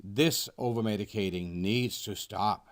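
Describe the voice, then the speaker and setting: A man, a nonprofit founder reading a scripted first-person presentation in an earnest, measured way. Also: on the low side. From Denmark. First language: Danish. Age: 60s. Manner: earnest, measured read-aloud delivery.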